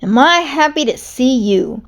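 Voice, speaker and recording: A woman voicing a cool, confident male wolf gang leader in a children's story, smooth and self-assured. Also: sits high, animated, unhurried, pitched above the performer's own voice. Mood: delighted.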